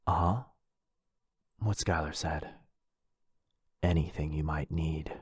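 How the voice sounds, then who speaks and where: A man's voice: extremely low pitch, fairly even intonation, slow pace, breathy edge, dry recording, clean studio sound, full character voice. A man playing a lonely, possessive, rich vampire brother in a boyfriend ASMR roleplay, insistent and coaxing. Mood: softly possessive.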